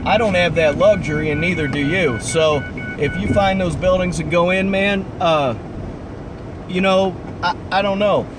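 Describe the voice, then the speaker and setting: A man's voice: high-pitched, normal speed, background noise. A man chatting casually to livestream viewers in a rambling, hesitant, slangy way, his throat a little froggy. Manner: rambling, hesitant, laid-back, slangy delivery. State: froggy throat, voice starting to give out. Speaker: livestream host and urban explorer.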